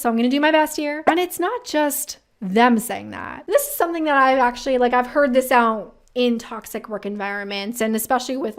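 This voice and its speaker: A woman giving casual, chatty commentary as a video host, opinionated and informal with slangy asides. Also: fairly high pitch. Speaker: career advice video host.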